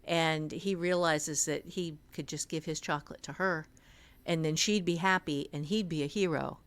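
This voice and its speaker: A woman's voice: low-pitched, steady tone, moderate pace. A middle-aged woman co-hosting a podcast, teaching writing in an informal, explanatory way. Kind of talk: casual instructional discussion.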